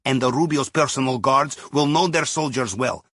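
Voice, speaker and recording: A man's voice: mid-range voice, normal speed, fairly bright tone, dry recording, clean studio sound, close to an everyday voice. A man playing a wry, jovial Russian submarine captain who guides a heist crew in a video game, speaking with a Russian accent and a conspiratorial manner. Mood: excited, relieved. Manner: wry, conspiratorial, folksy asides. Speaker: mission guide giving instructions. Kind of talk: video game mission dialogue.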